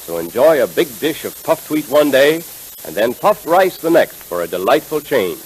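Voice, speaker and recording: A man announcing in 1930s radio style, making an emphatic, enthusiastic scripted pitch to children. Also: very animated, normal speed, rough recording. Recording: hissy 1930s radio recording.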